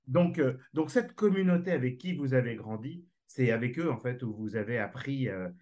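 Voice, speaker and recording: A man's voice: medium pitch, animated, normal speed, a little mellow. A man interviewing a guest, asking questions with a hesitant delivery full of fillers. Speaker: radio interviewer.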